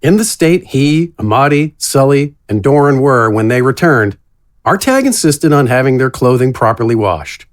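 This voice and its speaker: A man's voice: medium pitch, animated, moderate pace. A man narrating a scripted fantasy story as an audiobook-style narrator.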